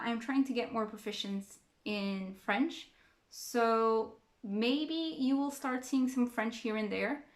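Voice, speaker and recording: A woman's voice: medium pitch, fairly bright tone, roomy sound. A young woman from Portugal, a native Portuguese speaker, chatting casually as a book vlogger and thinking aloud with fillers and restarts. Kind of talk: casual reading-stats chat to camera.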